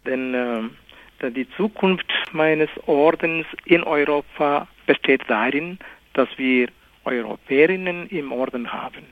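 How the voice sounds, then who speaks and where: Soft-spoken priest over a thin line. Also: male voice, mid-range voice. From India, Kerala. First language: Malayalam. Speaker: priest, guest. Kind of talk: radio interview. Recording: narrow phone-like radio sound.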